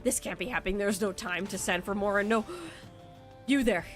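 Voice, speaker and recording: Desperate panicky villager , a woman reading it out, urgent and a bit loud. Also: medium pitch, moderate pace, over music, natural voice. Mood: frantic. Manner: reading game dialogue aloud, urgent.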